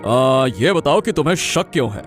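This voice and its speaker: Cartoon king played by a man: authoritative, probing, commanding delivery, slightly high male pitch. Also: expressive, moderate pace, soft background music, close to an everyday voice. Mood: questioning, commanding. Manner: commanding, questioning. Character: questioning, authoritative king of a village realm. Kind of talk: Hindi cartoon story voice acting.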